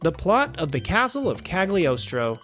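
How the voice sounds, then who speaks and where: A man hosting a film review show, reading a scripted review with wry, animated asides. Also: high voice, normal speed, faint music behind. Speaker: film review podcast host.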